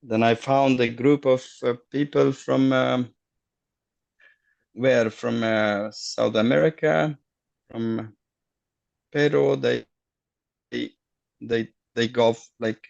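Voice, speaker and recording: A middle-aged man, a guest on a video call, telling his story haltingly and searching for words. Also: medium pitch, steady tone, vocal fry, darkish tone, lo-fi sound. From Afghanistan. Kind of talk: casual personal story.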